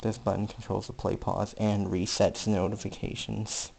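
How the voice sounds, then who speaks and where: A man giving a casual hands-on electronics demonstration, speaking haltingly in short piecemeal phrases. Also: lowish voice, flat delivery, creaky, a little mellow. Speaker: hobbyist presenter. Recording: faint background noise with clicks.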